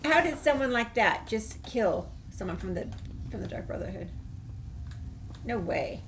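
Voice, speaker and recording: A woman's voice: a little high, animated, measured pace. A woman giving casual, playful commentary as she plays through a game. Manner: informal, playful. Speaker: gaming video host. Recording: faint background noise. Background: game ambience.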